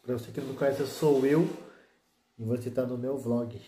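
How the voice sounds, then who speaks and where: A man's voice: mid-range voice, steady tone, a little fast, dark tone, slight background noise. A man in his thirties, casually showing his motovlog gear in a small indoor room, laid-back and full of slang fillers. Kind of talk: casual slangy show-and-tell vlog. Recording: echoey room.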